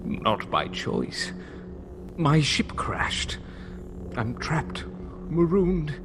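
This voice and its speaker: Slow speech over noisy ambience: a marooned old hermit in an audio drama. He pleads haltingly and dramatically, with a sly, cunning edge and a breathy voice that swings in pitch. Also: wildly swooping pitch, heavily acted. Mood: sorrowful, weary. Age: older. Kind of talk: fan audio drama acting.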